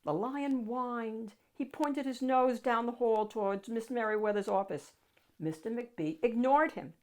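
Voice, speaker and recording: A woman narrating a children's picture book aloud with dramatic, expressive storytelling. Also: on the high side, measured pace.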